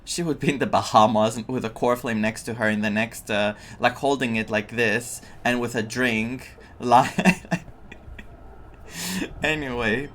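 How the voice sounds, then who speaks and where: A man's voice: medium pitch, fairly even intonation, unhurried, fairly bright tone. A man giving casual reaction commentary as a gaming streamer, repeating himself with theatrical exasperation. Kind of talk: casual reaction commentary over a story game.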